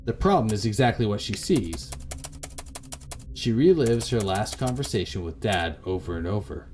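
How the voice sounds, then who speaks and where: A man's voice: fairly low pitch, animated, moderate pace, a little mellow, soft background music, close to an everyday voice. A man voicing a worried, pleading grown daughter. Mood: anxious, tired. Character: worried grown daughter caring for her failing mother.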